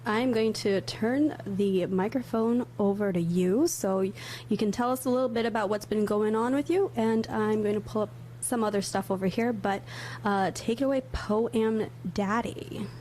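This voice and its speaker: A woman hosting a casual livestream, chatty and quick to laugh, thinking aloud as she sets things up. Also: mid-range voice, dark tone, slight background noise. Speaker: livestream host.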